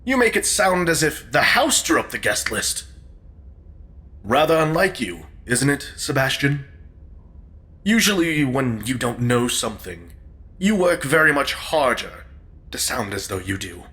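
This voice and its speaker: Audio drama: male actor as sharp-tongued gentleman guest, arch, animated, forward bright voice. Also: mid-range voice, measured pace. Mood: arch, amused. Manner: wry, urbane, needling.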